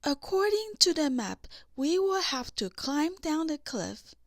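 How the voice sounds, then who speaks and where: A woman's voice: high voice, big pitch swings, unhurried, dry recording, clean studio sound, voice pushed up, full character voice. A woman voicing an upbeat, adventurous young princess, eager and practical, in a children's storybook. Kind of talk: children's storybook character reading.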